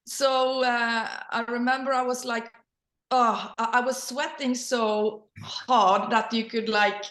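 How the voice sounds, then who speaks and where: A middle-aged woman whose native language is Norwegian, speaking English with a Norwegian accent in casual personal storytelling, sometimes halting as she searches for words. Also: on the high side, level tone, a bit bright. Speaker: interview guest, company leader. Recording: roomy echo.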